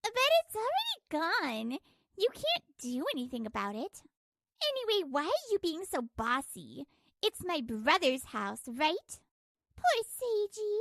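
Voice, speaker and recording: A woman's voice: very high voice, wildly swooping pitch, measured pace, gravelly, fairly bright tone, dry recording, studio quality, exaggerated voice. A woman voicing a spoiled, brazen young little sister in a manga dub, flippant and entitled. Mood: smug, defiant. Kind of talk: scripted manga dub dialogue.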